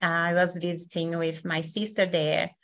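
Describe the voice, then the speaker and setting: A woman from Brazil with a Brazilian accent, hosting an online session as facilitator in a friendly, unhurried way with many fillers. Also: fairly low pitch, flat delivery, fairly bright tone.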